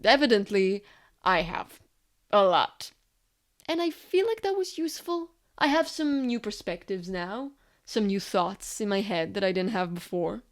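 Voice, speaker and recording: A woman's voice: medium pitch, lively intonation, measured pace. A woman delivering scripted video essay narration in a reflective manner, often posing rhetorical questions.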